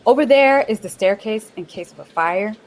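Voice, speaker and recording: An actress playing a bubbly, bossy office manager in a radio play, talking in a chirpy sing-song way. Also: female voice, mid-range voice, lively intonation, normal speed, a little hiss or hum, natural voice, rough recording. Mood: upbeat.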